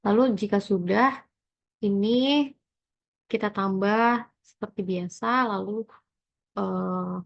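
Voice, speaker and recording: Young woman, mid pitch, hesitant tutorial delivery, thinking aloud, dull lo-fi sound. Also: gravelly. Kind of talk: informal accounting tutorial walkthrough.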